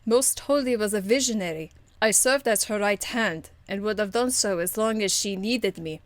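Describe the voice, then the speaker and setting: A woman voicing a stern, dignified noblewoman warrior of faith for an amateur game voice demo, speaking in a formal, measured, earnest way. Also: mid-range voice, no echo, close to an everyday voice. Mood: earnest, restrained.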